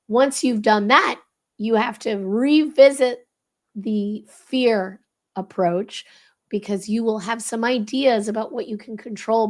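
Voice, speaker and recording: Woman explaining, with a slightly crackly voice. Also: fairly high pitch. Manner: emphatic, instructive, poses rhetorical questions. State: slightly crackly, strained voice from voice loss. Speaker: leadership expert guest explaining a framework. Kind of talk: explanatory interview talk.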